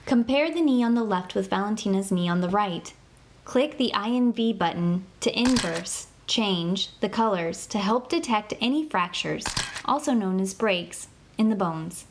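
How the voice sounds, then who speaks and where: A woman giving scripted instructional narration for an interactive kids' medical app, in an even, clear, measured way. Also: medium pitch, a little hiss or hum.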